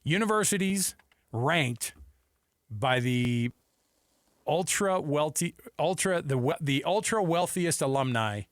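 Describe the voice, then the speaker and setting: A man's voice: on the high side, animated, takes it slowly, no room sound. An American man hosting sports talk radio with casual banter, speaking into a close studio microphone.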